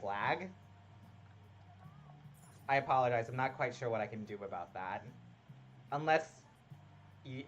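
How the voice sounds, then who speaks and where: A man's voice: mid-range voice, a bit bright, lo-fi sound, a little hiss or hum. A man casually commenting on a game he is playing live, chatting with viewers and greeting them by name, often laughing at himself. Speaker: streamer.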